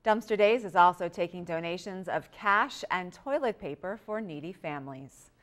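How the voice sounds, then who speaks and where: A woman's voice: lowish voice, animated, moderate pace, background noise. A woman with an American accent reading scripted local news stories as an anchor.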